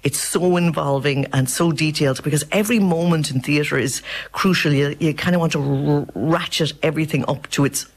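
A man's voice: on the high side, a little fast. A man with an Irish accent chatting animatedly in an interview as a theatre director.

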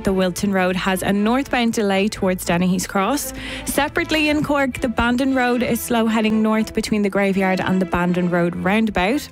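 An Irish woman, native Irish speaker, reading traffic news and bantering with the host. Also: mid-range voice. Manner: brisk, laughs easily. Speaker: traffic reporter. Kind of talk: traffic report and casual banter.

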